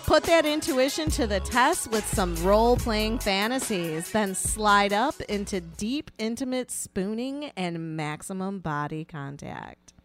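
A woman's voice: medium pitch, animated, a little slow, few pauses, soft background music. A woman co-hosting a casual podcast, chatting and reading aloud in a frank, playful, informal way. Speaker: podcast co-host.

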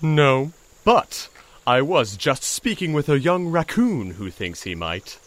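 An actor voicing an enormous talking toad, dignified, cryptic and dryly witty, with formal, measured speech in an audio drama. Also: male voice, fairly high pitch, very animated, a bit bright, heavily acted. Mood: dry, assured.

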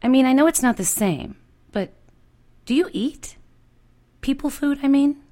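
A woman's voice: sits high, animated, warm tone, voice pushed up. A woman voicing a shy, tearful young woman. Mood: tentative. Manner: tentative, halting, tearful. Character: shy, grateful young woman, nervous and earnest.

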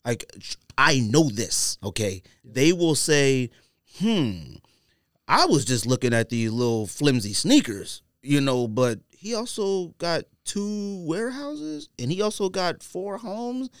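A man's voice: a little high, takes it slowly, dry recording, studio quality. An American man hosting a podcast, talking casually in slang and acting out imagined quotes as he tells it. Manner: animated, slangy storytelling with acted-out imagined quotes. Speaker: podcast host.

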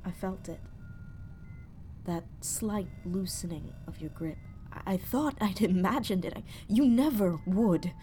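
An actress plays a traumatized woman space explorer in a sci-fi horror audio drama. She speaks slowly and haltingly, haunted and betrayed, slipping into whispers. A faint rumbling noise sits under her voice. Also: expressive, dark tone, lightly acted. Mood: hurt, accusing.

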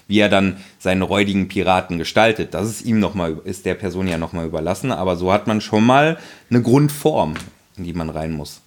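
Lowish guy cohosting a podcast, rambly, lots of ums. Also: fairly quick. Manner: rambling, many fillers and restarts. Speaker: podcast co-host. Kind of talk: casual chat.

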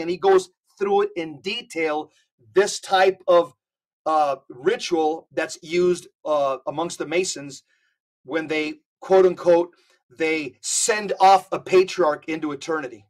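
A man's voice: fairly high pitch, a little slow, rough recording. A man talking on a podcast, emphatic and often repeating words as he gathers his thoughts. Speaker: podcast co-host. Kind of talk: casual podcast conversation.

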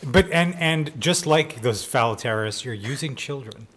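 A man's voice: medium pitch, expressive, unhurried, fairly bright tone, a little hiss or hum. A man co-hosting a casual interview, asking questions and bantering in a jokey, enthusiastic way. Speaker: podcast co-host, interviewer.

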